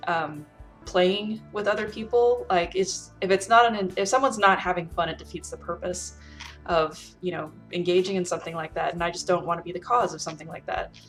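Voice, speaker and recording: A woman's voice: on the low side, fairly quick, fairly bright tone, faint music behind. A woman casually recounting her time as a player on a roleplay show, informal and thinking aloud as she goes. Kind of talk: casual recollection of roleplaying behind the scenes.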